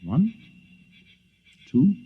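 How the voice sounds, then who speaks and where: An actor playing a practical, determined inventor who leads a stranded group, matter-of-fact and commanding, in 1950s radio drama style, on a dull lo-fi 1950s radio recording. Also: male voice, extremely slow.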